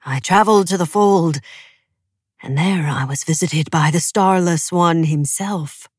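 A woman's voice: fairly low pitch, measured pace, husky, dry recording, clean studio sound. A woman voicing a ruthless male preacher from a storybook, declaiming firm and commanding.

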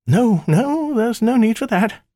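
A cheeky male spirit in the guise of a flirtatious maiden, as a man puts her on in a high falsetto-ish lilt. The voice swoops and dances through a lively range, coy and wheedling, with a dry close sound. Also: unhurried, dry recording, heavily acted. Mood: fawning. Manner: coy, wheedling, mock-sweet. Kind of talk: audiobook character voice.

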